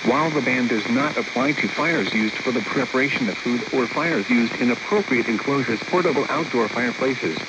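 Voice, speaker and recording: A man's voice: medium pitch, a little fast, hardly any pauses. A computer-generated male voice reading a weather bulletin in a mechanical, evenly paced way, with clipped headings between items. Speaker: automated weather radio announcer. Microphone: weather radio receiver. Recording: dull radio broadcast with loud static.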